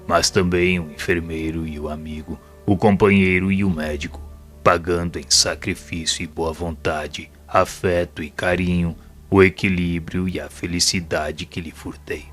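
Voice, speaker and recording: A man acting as a deep, solemn spirit mentor. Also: fairly even intonation, a bit bright, soft background music. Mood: solemn resolve. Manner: grave, formal, measured, earnest. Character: solemn, repentant male spirit assistant and mentor, a former doctor. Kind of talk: audio drama dialogue, formal literary monologue.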